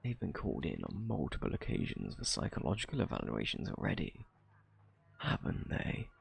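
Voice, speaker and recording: Husky, gravelly British flirty thief played by a man. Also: rumbling low, wildly swooping pitch, moderate pace, full character voice. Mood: taunting, insinuating. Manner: teasing, drawling, mock-innocent. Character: smug flirty gentleman thief, cunning and taunting. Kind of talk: ASMR roleplay acting.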